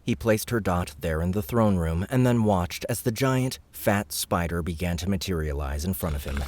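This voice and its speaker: A man narrating a fantasy audiobook in a steady, measured storytelling manner. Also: low voice, slight background noise.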